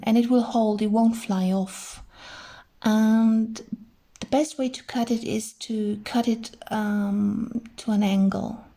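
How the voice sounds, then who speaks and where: Slow, gentle hoarse woman crafting. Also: a little high. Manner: chatty, gentle, thinks aloud with many fillers. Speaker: crafting channel host. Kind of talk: casual crafting tutorial. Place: indoors at a craft table.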